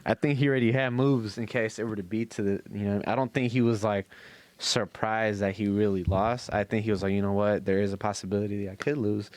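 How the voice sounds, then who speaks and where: A man's voice: on the low side, fairly even intonation, a little fast, a little mellow, dry recording. A young man on a podcast, talking casually and with plenty of slang. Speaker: podcast co-host.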